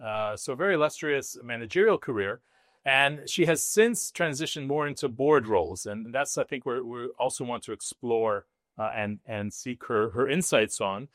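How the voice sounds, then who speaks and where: A man hosting a live discussion session in a halting, semi-prepared way. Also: mid-range voice, fairly bright tone, dry recording, studio quality. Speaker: host, business school dean.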